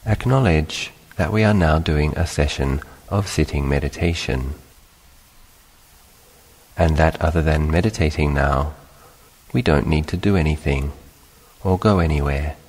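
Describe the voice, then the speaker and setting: A man leading a guided meditation as a teacher, speaking in a calm, unhurried, instructional way with long pauses. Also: extremely low pitch, husky. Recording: long hall-like reverberation.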